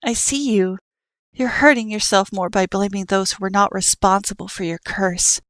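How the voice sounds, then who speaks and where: A woman voicing a patient, sorrowful child who sees everything and speaks softly and earnestly. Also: mid-range voice, steady tone, normal speed, no echo, natural voice. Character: calm all-seeing child, sorrowful and patient.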